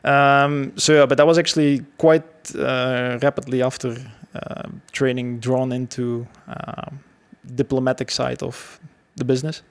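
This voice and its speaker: A man, telling his story as a podcast guest in a casual, halting way. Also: medium pitch, little pitch movement, clear, resonant tone. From Belgium. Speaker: podcast guest, security professional.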